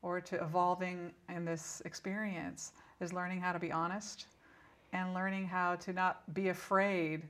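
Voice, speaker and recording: A woman's voice: fairly low pitch, flat delivery, a little slow, fairly bright tone. A woman speaking as an interview guest and spiritual teacher, explaining conversationally in an instructive, emphatic manner.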